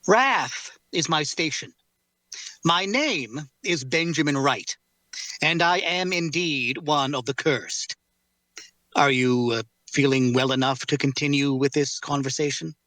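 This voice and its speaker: A man playing a formal, guarded cursed warrior in an audio drama table read, speaking courteously in measured, deliberate phrases. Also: fairly high pitch, bright, forceful tone, no room sound. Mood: probing, composed.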